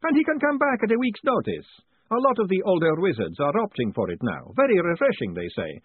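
A man voicing a cheerful, fussy male wizard professor who sounds high, bright and chatty. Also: wildly swooping pitch, a little fast, slightly rough, no room sound, heavily acted. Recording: tinny phone-like audio.

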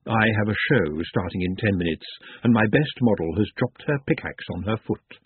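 A man's voice: on the low side, animated, normal speed, no room sound. A man voicing a shrewd, grand female dwarf who runs fashion shows in a storybook, confiding and condescending. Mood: businesslike. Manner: smooth-talking, confiding, condescending. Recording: muffled phone-like narrow band.

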